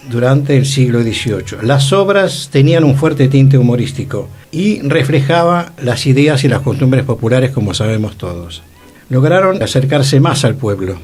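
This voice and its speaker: A man's voice: mid-range voice, a little mellow. A man with a Rioplatense Argentine accent giving a didactic, scholarly talk as a radio host. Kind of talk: informative radio talk on theatre history. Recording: music playing behind the voice. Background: music.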